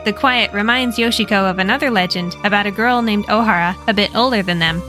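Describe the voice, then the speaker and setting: An American woman hosting a story podcast, retelling a horror manga in a lively, expressive and wry way. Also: medium pitch, fairly quick, over music. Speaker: podcast host and narrator.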